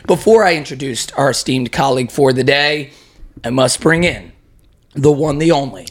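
A man hosting a podcast, his voice hoarse, chatting in an upbeat, mock-grand way with plenty of swearing. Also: medium pitch, animated, moderate pace. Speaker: podcast host. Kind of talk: casual crude banter. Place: room in a house used as studio.

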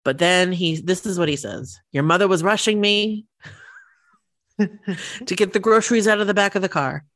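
A woman in her thirties co-hosting a podcast, telling stories in relaxed casual chat with lots of fillers, a bit sniffly from the cold. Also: lowish voice, unhurried, no echo, clean studio sound. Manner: relaxed storytelling with many fillers. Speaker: podcast co-host.

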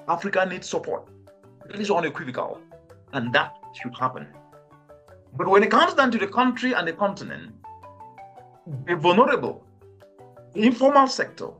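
A man from Cameroon speaks in a fairly high voice for a man, making his case with earnest rhetorical questions and sharp rises in emphasis. Faint music sits underneath the speech. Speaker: guest, climate change expert. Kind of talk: podcast interview answers.

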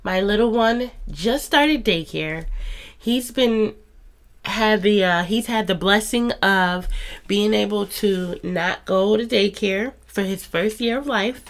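A woman's voice: mid-range voice, slow. A woman in her thirties hosting a faith podcast, talking in a chatty, encouraging way while stuffy from a cold. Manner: warm, chatty and encouraging, with soft laughs. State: congested from a cold. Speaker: podcast host. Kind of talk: casual faith podcast monologue.

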